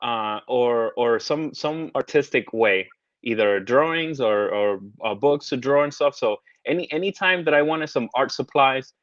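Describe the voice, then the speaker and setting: A man's voice: medium pitch, unhurried, no room sound. A man in his thirties who grew up between the United States and the Caribbean, talking as a guest in a casual interview, hesitant, with many restarts and fillers. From United States, raised partly in the Caribbean. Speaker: guest, tarot artist. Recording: dry, dull lo-fi sound.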